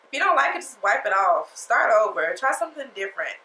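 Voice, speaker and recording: A woman's voice: mid-range voice, very animated, fast, sharp tone, reverberant, poor audio. Chatty, sassy woman with a stuffy nose. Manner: chatty, sassy, talks straight to viewers. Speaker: beauty vlogger. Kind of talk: casual makeup tutorial chat.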